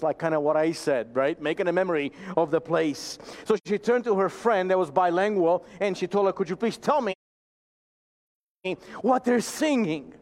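High-voiced preaching man from Romania. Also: darkish tone. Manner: earnest, emphatic, slows down for key phrases. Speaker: pastor. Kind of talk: sermon.

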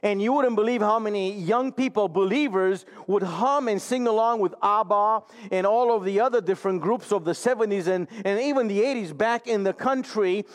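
High-voiced preaching man from Romania. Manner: earnest, emphatic, slows down for key phrases. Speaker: pastor. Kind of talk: sermon.

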